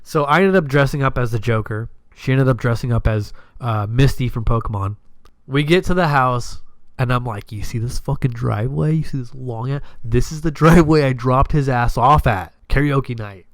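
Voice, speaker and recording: Guy doing podcast storytime, mid pitch, super casual n sweary, animated, really dry close sound. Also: normal speed, dry recording. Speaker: podcast host. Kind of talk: casual personal storytelling, acting out dialogue.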